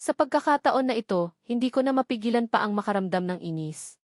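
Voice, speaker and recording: A woman's voice: fairly high pitch, animated, fairly quick, no echo, clean studio sound. A woman reading a first-person romance novel as a scripted audiobook narration.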